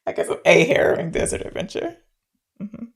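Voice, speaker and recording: A woman with a distinctly low voice and a lot of vocal fry hosts a casual film discussion. She comes across as playful and bantering, like a podcast co-host talking with a friend. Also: big pitch swings, normal speed.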